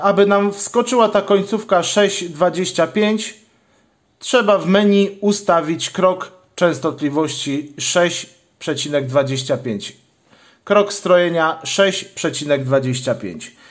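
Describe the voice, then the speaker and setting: A man hosting a step-by-step hands-on tutorial, explaining each stage in a methodical way. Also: sits high. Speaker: channel host, product demonstrator.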